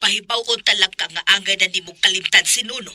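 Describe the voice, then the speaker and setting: A woman's voice: medium pitch, fast talker, pushed and bright, no room sound, clean studio sound. An actress with a Visayan Filipino accent playing a blunt older mother in a radio drama. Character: blunt older mother warning her daughter.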